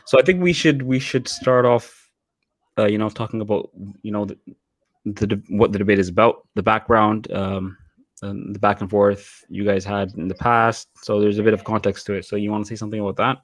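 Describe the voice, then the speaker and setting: Man, co-host, casual, hesitant, lowish voice, dry sound. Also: fairly quick, no room sound. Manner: relaxed, hesitant, with fillers. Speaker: podcast co-host. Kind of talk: casual chat.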